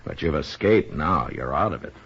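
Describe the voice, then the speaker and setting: An actor playing a steady, protective Old West marshal in 1950s radio western style, plainspoken and laconic. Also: male voice, very deep voice, moderate pace, slightly rough, exaggerated voice. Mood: firm, reassuring. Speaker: lead actor and narrator. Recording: dull vintage radio recording with music.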